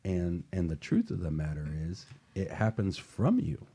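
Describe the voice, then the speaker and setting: A man's voice: sits low, wildly swooping pitch, moderate pace, mellow, husky. A man hosting a motivational radio talk show, speaking earnestly and emphatically and repeating phrases for weight.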